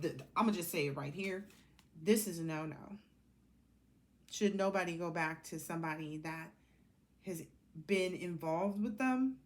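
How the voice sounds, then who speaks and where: An American woman giving a casual, rambling tarot card reading in a blunt, sassy, colloquial way. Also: lowish voice.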